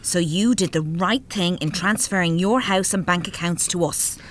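A woman's voice: lowish voice, moderate pace, close to an everyday voice. A woman with an Irish accent playing a greedy, blunt yet cloying grown-up daughter in a radio play. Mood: cloying, probing. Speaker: radio drama actor.

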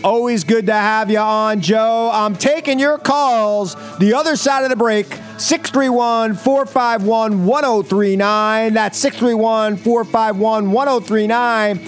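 High-pitched sarcastic male radio host. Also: fairly even intonation, unhurried, hardly any pauses, soft background music. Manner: sarcastic, joking. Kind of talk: casual political banter on a call-in radio show.

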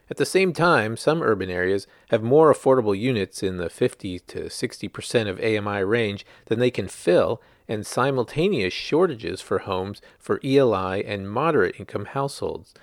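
Guy reading a news article out loud, kinda low voice, lots of up and down, super dry recording. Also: dry recording. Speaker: reporter reading own article. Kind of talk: scripted narration, article reading.

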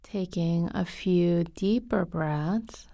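Slow, soft-spoken woman guiding meditation. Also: lowish voice, creaky, dry recording, studio quality. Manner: soft, calm, unhurried, with frequent pauses. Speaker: guided meditation teacher. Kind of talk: guided body scan meditation.

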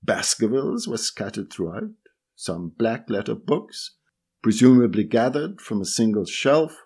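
Husky man reading with lively intonation. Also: mid-range voice. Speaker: reader of a magazine article. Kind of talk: measured read-aloud narration.

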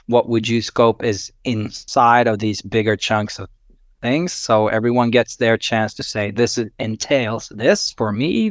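A man's voice: on the low side, dry recording. Swedish-born man explaining methodically. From Sweden. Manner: methodical, filler-laden explaining. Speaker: company CEO. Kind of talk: panel podcast discussion. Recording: dull dry lo-fi sound.

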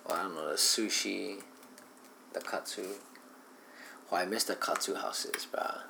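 Laid-back man, very low voice, slangy casual chat, faint hiss. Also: unhurried, vocal fry, pushed and bright. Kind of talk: casual chat among friends.

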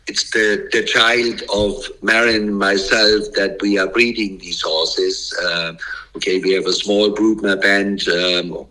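A man's voice: fairly low pitch, flat delivery, bright, forceful tone, poor audio. A middle-aged German man with a German accent, a breeder and bloodstock agent, talking hesitantly with many fillers while his voice gives out. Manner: halting, many fillers and restarts. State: losing his voice.

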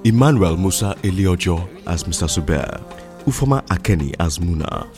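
A man's voice: low-pitched, big pitch swings, normal speed, darkish tone, faint music behind. A man reading scripted announcements as the credits announcer for an audio drama.

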